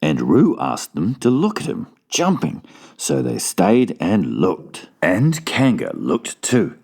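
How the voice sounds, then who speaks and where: A male audiobook narrator telling a children's storybook. He takes his time over each phrase, reading at an unhurried, slow pace. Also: on the low side, huge pitch swings, vocal fry, warm tone.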